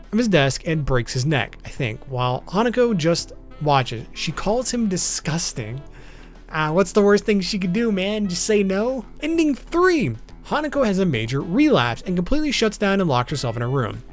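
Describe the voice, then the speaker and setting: A man narrates a scripted explainer in a fairly high voice with lively, swinging intonation. He sounds informal, dropping casual asides and stumbling slightly over the odd phrase. Music plays behind his voice. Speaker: video host. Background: background music.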